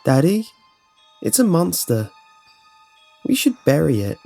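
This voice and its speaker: An eerie little child played by a male narrator speaks with innocent plainness in a horror story. The pitch is lifted above the narrator's own, and music plays in the background. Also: sing-song, takes it slowly, creaky, mellow, heavily acted. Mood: innocent, matter-of-fact. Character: small children saying eerie, innocent things. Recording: voice-over with music behind.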